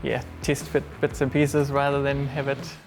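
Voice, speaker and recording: A man's voice: medium pitch, normal speed, faint music behind. A middle-aged man from Germany with a German accent, talking casually and hesitantly about his workshop. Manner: relaxed, hesitant, many fillers and restarts. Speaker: workshop owner. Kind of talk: casual interview.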